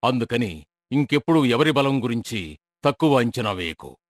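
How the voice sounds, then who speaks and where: A man's voice: mid-range voice, sing-song, moderate pace, dry recording, natural voice. A man voicing a stern, sensible wolf in a children's cartoon story, speaking in a firm, admonishing way. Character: stern, sensible male wolf, fair-minded friend and referee. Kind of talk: children's animated story acting.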